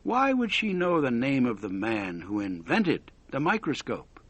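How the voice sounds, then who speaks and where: A man's voice: mid-range voice, huge pitch swings, a little slow, slightly rough, no echo. A man narrating a scripted story for English learners, with dramatic, careful phrasing.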